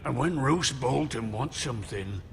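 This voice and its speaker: An actor playing an older, menacing rival lord in a fantasy video game, pompous, mocking and theatrical. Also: male voice, medium pitch, animated, normal speed, vocal fry, slight background noise. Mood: scornful, threatening. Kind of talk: dramatic video game acting. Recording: long hall reverb, music underneath. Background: background music.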